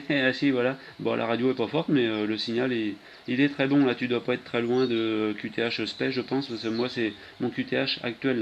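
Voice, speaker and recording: A man chatting casually and haltingly as a CB radio operator. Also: medium pitch. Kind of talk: casual radio contact chat. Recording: muffled lo-fi CB radio, clear noise.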